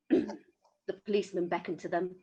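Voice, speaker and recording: A woman's voice: on the low side, a little slow, mellow, lo-fi sound. A woman reading a children's story aloud as an amateur storyteller.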